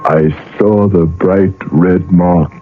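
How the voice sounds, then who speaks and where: Very deep, brooding guilt-ridden father. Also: fairly even intonation. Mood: grave, suspenseful. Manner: slow, deliberate, intense delivery. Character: anguished father Max, brooding, guilt-ridden, driven to violence. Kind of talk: radio drama acting and first-person narration. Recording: muffled phone-like old radio, faint music.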